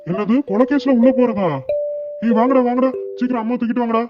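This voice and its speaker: An anxious, put-upon grown son played by a man in a comedy cartoon. The sound is slightly muffled, with loud music underneath. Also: very high-pitched, steady tone, quick pace. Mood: earnest. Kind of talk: Tamil comedy cartoon voice acting.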